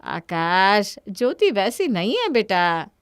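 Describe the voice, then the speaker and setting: A woman's voice: medium pitch, big pitch swings, slow pace, clear, resonant tone, no echo, clean studio sound. A woman voicing a kindly, protective older mother-in-law in a cartoon family story. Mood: protective, chiding. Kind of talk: Hindi cartoon moral-story voice acting.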